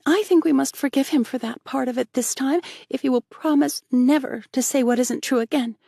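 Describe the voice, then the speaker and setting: Woman doing a soft, reproachful young teacher, slightly raised pitch, dry. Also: brisk, vocal fry, no echo. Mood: gently firm. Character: gentle young woman schoolteacher, sorrowful.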